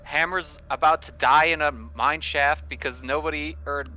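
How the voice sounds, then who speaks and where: Bright-voiced man, halting game player. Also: fairly high pitch, steady tone. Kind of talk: casual in-game roleplay talk.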